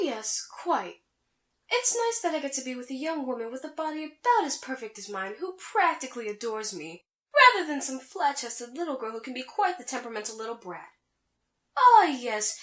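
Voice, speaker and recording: Haughty, high-voiced anime sorceress, echoey. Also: female voice, sing-song, normal speed, a bit bright. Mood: smug, taunting. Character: vain, haughty young female sorceress rival from an anime. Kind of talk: comedic anime fan audio drama acting.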